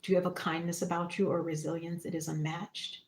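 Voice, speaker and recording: Woman, spiritual livestream host, reflective, gravelly vocal fry, casual guidance, echoey room. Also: on the low side, flat delivery, brisk, slight background noise. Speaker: psychic medium and spiritual teacher hosting a livestream. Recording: roomy, echoing room sound.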